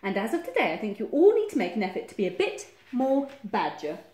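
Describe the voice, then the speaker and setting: A woman's voice: a little high, huge pitch swings, noisy background. A woman voicing a bossy, self-important male badger in a children's storybook, speaking emphatically. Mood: smug. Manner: emphatic, chanting, drawn-out bossy delivery. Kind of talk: children's storybook read-aloud. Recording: echoey room.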